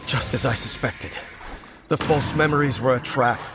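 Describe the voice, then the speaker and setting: An actor playing a grave, mysterious male wanderer in a video game, giving measured, commanding orders. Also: close to an everyday voice. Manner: measured, commanding, low-key delivery. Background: soft game music.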